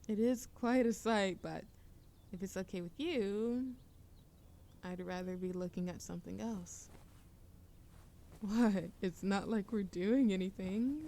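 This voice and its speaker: A woman's voice: mid-range voice, warm tone. A woman playing a playful young witch smitten with a childhood friend, teasing and affectionate in an intimate romantic audio roleplay. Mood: wistful, soft, then playful, bossy. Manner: teasing, affectionate, breathy laughs and whispers.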